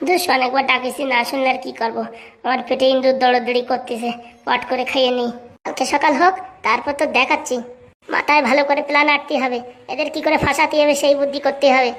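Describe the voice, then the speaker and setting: Woman as high-voiced henpecked young village husband. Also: steady tone, fast talker. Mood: muttering, scheming. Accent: rural Bengali. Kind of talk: comic cartoon dialogue in village dialect.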